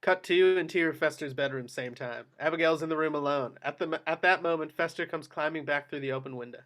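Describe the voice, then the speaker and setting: Give me a man with a fairly high voice, reading screenplay action lines as narrator. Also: brisk, a bit bright. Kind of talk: reading screenplay stage directions aloud.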